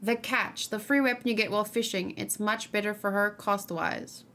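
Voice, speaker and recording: A woman's voice: medium pitch, steady tone, normal speed, a bit bright. A woman streaming a let's play, giving casual, chatty commentary and musing aloud as she goes. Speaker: gaming streamer.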